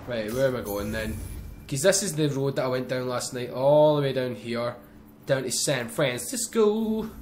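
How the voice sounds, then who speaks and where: A young man chatting casually over racing gameplay, excitable, swearing and joking. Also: mid-range voice, moderate pace. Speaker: gaming YouTuber. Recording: faint game engine noise behind.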